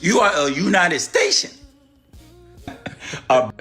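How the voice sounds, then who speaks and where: A man from Haiti speaks slowly and mockingly, his high, bright voice leaping around as he lectures and laughs at his own jabs. Faint music runs underneath. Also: huge pitch swings.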